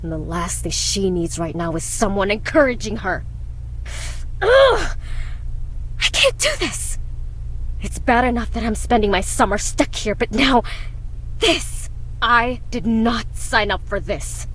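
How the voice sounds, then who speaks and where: A woman's voice: medium pitch, lively intonation, measured pace, fairly bright tone, husky, rough recording. A woman voicing a sardonic, exasperated, skeptical girl in a visual novel game. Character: skeptical, exasperated, sarcastic girl stuck at a convent.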